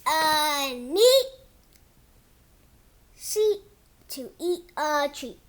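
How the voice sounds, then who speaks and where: A child hosting a read-aloud of a picture book, reading haltingly like a beginner and drawing words out. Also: high-pitched, lively intonation, fairly bright tone, a little hiss or hum.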